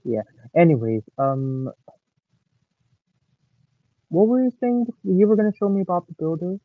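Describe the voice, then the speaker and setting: Lively teenage guy on a tinny line. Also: high-pitched, unhurried, dry recording. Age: late teens. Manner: hesitant, animated, opinionated fan. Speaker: stream guest. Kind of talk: casual chat. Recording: tinny phone-like call line with faint hiss.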